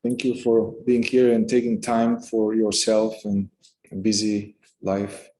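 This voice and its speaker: A man leading a guided meditation in a calm, unhurried manner, speaking in short phrases with pauses between them. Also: on the low side, poor audio. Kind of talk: guided meditation, unscripted instruction.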